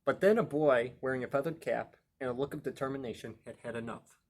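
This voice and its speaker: A man reading a children's picture book aloud as a storytime host, playful and expressive, with emphatic words and chatty asides to young listeners. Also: medium pitch, quick pace. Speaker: library storytime reader and host.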